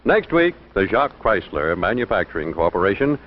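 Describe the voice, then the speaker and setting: A man's voice: fairly low pitch, very animated, brisk, slight background noise. A man announcing programme promotions from a script in 1950s broadcast style. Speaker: television announcer. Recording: dull old 1950s broadcast.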